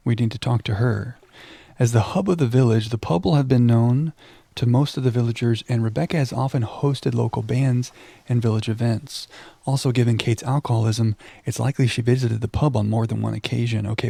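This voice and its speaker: A man streaming a video game in a relaxed voice with a creaky edge. He talks casually to his viewers and reads text aloud, close and dry on the mic, poking fun at himself as he goes. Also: mid-range voice, no room sound. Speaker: livestream game host.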